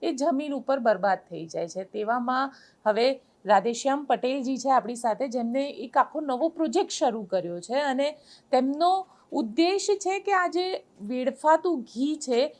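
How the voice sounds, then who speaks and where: A woman with a Gujarati accent presenting an interview topic in a measured, explanatory and emphatic way. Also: high voice, lively intonation. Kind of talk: spoken introduction to an interview.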